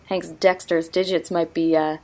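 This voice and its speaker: A woman's voice: on the low side, little pitch movement, moderate pace, a little mellow, natural voice. A woman playing a wistful superhero team member in a fan audio drama.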